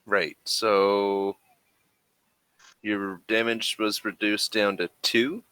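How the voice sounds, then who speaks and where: A man with a low, bright and forceful voice runs a tabletop game. He talks casually in halting stretches, working out the rules aloud as game master. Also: level tone, clean tone, no room sound.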